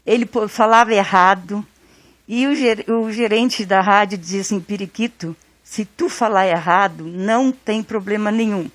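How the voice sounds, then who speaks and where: Uma mulher do sul do Brasil relembrando o irmão numa entrevista, falando com calma e carinho. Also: female voice, mid-range voice, moderate pace, no room sound. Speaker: interviewee, sister. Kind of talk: interview recollection.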